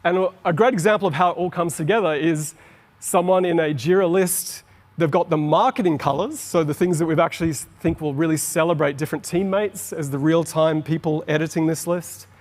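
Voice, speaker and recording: A middle-aged Australian man giving an enthusiastic, explanatory conference talk on stage. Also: on the high side. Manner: explanatory, enthusiastic, quickens on asides. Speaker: conference presenter. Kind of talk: keynote presentation. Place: large conference hall on stage. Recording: long hall reverb.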